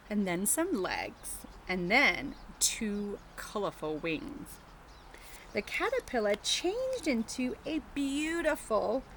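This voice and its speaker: A woman teacher doing an animated story time for children with chatty asides and encouraging questions to her young listeners, recorded outdoors in a garden. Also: mid-range voice. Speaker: teacher reading a picture book to children. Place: outdoors in a riverside garden, birds and faint traffic. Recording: faint outdoor birdsong and traffic noise.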